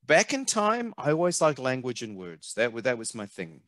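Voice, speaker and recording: A middle-aged man with a New Zealand accent, a marketing consultant speaking as an interview guest over a headset on a video call, animated and emphatic, often repeating words as he thinks. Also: mid-range voice, normal speed, no room sound, studio quality. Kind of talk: conversational interview. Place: home office, video call. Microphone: headset mic.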